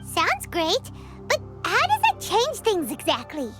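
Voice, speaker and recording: A woman voicing a tiny, childlike floating fairy companion in a video game, chirpy and curious with a squeaky voice. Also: wildly swooping pitch, a little slow, over music, exaggerated voice. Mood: eager, curious.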